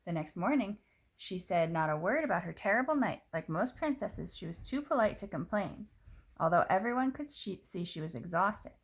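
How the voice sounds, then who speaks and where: Female children's storyteller: expressive read-aloud, very lively pitch, touches of vocal fry. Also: normal speed. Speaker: storyteller reading a picture book.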